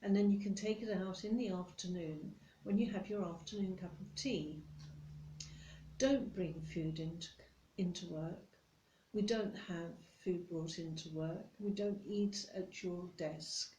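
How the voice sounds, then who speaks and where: A woman plays a nitpicking British office boss in an ASMR roleplay. She is stern and condescending, spelling out the rules slowly and deliberately in a relaxed, mellow voice. The room adds a noticeable echo. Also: lowish voice, slight background noise. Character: strict, nitpicking female office boss telling off a new employee. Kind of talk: ASMR roleplay monologue addressed to the listener. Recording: roomy echo.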